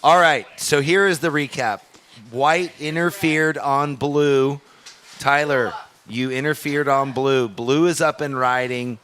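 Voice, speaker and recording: Male surf webcast commentator and announcer, mid-pitched voice, live contest delivery. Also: fairly even intonation, slow pace, a bit bright, a little hiss or hum.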